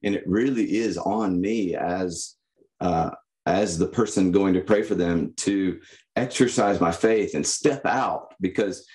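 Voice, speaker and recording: A young man giving reflective, personal answers as a podcast guest, in a halting, thoughtful manner. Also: sits low, a little mellow. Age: young adult. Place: indoor room, on a video call. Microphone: condenser microphone.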